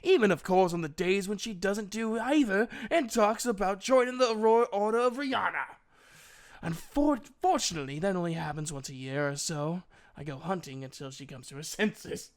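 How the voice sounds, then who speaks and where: A man's voice: high-pitched, lively intonation, moderate pace, pitched above the performer's own voice. A man voicing a proud, sardonic male elf with a fierce temper and a commanding way of talking, in an audiobook. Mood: wry.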